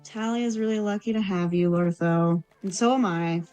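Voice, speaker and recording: A woman's voice: mid-range voice, moderate pace, mellow, smooth, faint music behind, lightly acted. A woman playing a caring, thoughtful village woman in a tabletop fantasy game, speaking hesitantly. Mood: warm.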